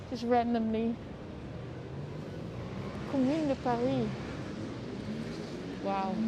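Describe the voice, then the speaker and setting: A woman with a Dutch accent chats her way through a live outdoor walk, her voice high and her pace unhurried. She talks straight to her viewers on a lapel mic, with clear traffic and street noise all around. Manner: chatty, reacts aloud, talks to viewers by name. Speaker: livestream walking-tour host. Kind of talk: casual live walking commentary. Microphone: lapel mic while walking.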